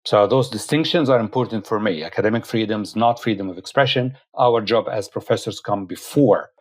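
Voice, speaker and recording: A man's voice: on the low side, brisk. A man, a professor whose first language is Arabic, firmly explaining his views as a guest in an interview. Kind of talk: interview answer.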